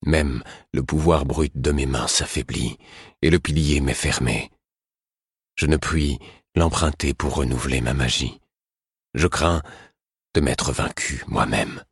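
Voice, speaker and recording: A man voicing an exhausted, solemn king in an audiobook, speaking slowly and gravely. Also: very deep voice, no echo, clean studio sound, heavily acted. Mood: weary. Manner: slow, weary and grave.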